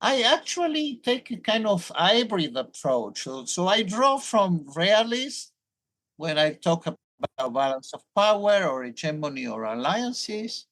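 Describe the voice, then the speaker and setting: Brief: older male academic with a Hebrew accent, thoughtful and explanatory, lively intonation. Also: high voice, a little slow, poor audio. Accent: Hebrew-accented English. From Argentina. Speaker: professor, guest. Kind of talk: academic interview.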